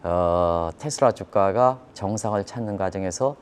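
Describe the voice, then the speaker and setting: A man's voice: low voice, normal speed, smooth. A man giving live financial news commentary, explaining step by step, repeating key terms and putting rhetorical questions to viewers. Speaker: economic commentator.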